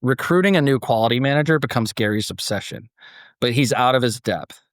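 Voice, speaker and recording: A man's voice: medium pitch, a little fast, no room sound, studio quality. A man hosting a podcast, moving between dramatic story narration and casual discussion.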